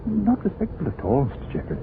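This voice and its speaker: A man's voice: fairly high pitch, wildly swooping pitch, quick pace. An actor playing a fussy, pious village clergyman, hesitant and sanctimonious, in an old-time radio drama. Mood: hesitant, disapproving. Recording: noisy, tinny vintage radio recording.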